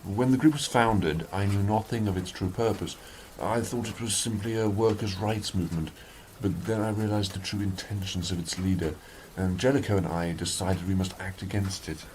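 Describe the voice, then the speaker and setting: A man's voice: deep voice, fairly even intonation, moderate pace, hardly any pauses, a little mellow, slight background noise, lightly acted, rough recording. A man playing an earnest, anxious informant in a radio drama, speaking formally and carefully with some urgency. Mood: uneasy, urgent. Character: anxious, earnest male informant from a political brotherhood.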